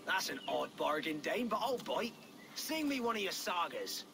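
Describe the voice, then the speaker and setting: An actor playing a greedy, skittish medieval merchant with an exasperated, colloquial manner in a video game. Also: male voice, fairly high pitch, sing-song, moderate pace, gravelly, background noise. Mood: skeptical. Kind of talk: dramatic video game acting.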